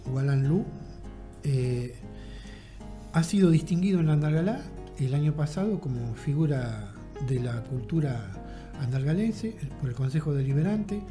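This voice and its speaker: A man's voice: a little high, expressive, normal speed, mellow. A man, hosting a casual interview and backing up his guest with short affirming remarks. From Argentina. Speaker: host. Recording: faint music behind.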